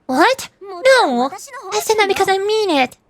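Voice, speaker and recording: A woman's voice: very high voice, big pitch swings, measured pace, fairly bright tone, exaggerated voice. A woman playing a stern, teasing, proud trickster grandmother in a video game. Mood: gruff teasing. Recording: game music behind the voice.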